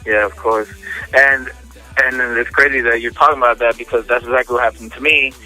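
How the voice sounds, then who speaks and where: A man telling his personal testimony in a casual, slangy interview, halting and repeating words as he goes. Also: medium pitch, fairly even intonation, bright, forceful tone, poor audio. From United States, born in New York, raised in Miami. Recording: phone interview with music behind.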